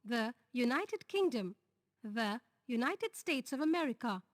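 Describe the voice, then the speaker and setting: A woman with an Indian English accent reading a grammar lesson, going slowly through lists and example sentences. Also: fairly high pitch, big pitch swings, no room sound, clean studio sound. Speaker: teacher.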